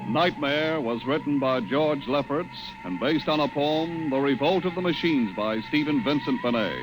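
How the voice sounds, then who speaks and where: A man's voice: fairly high pitch, normal speed, low-quality recording. Male old-time radio announcer over music. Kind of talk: scripted 1950s radio credits announcement.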